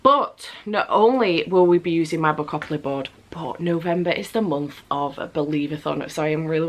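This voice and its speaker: A woman's voice: on the low side, fairly bright tone. A young woman with a cold and a stuffy nose, chatting to camera as a book vlogger, repeating words for emphasis. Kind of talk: casual chat to camera. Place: home room with building work nearby.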